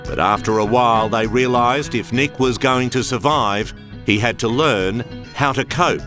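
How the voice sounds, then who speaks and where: A male reporter reads a scripted current-affairs narration in a measured, polished delivery. His voice sits in a middle range, with music clearly audible beneath it. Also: mid-range voice.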